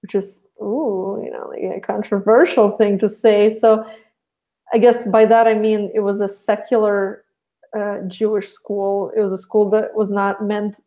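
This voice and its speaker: A woman's voice: mid-range voice, flat delivery, a little slow, mellow, poor audio. A young woman from Russia, a podcast guest talking casually and rambling with many restarts.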